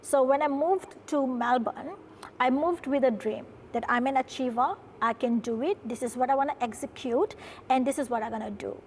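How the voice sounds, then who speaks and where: A woman's voice: sits high, moderate pace, a little mellow, a little hiss or hum. A woman from Fiji telling her story in an earnest interview, often adding "right?" as she talks. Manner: earnest, tag questions with "right?". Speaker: guest, production company founder. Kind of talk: interview conversation. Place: studio.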